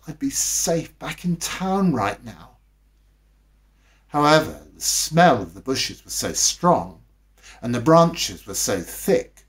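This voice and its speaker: A man narrates a bedtime story from a script. He reads at an unhurried, slow pace with lively swings in pitch. The delivery is expressive and dramatic. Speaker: storybook reader.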